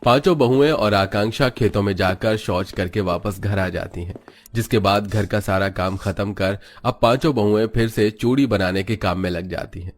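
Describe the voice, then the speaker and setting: Narrator, male, fairly low pitch, scripted storytelling for a cartoon family drama. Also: moderate pace.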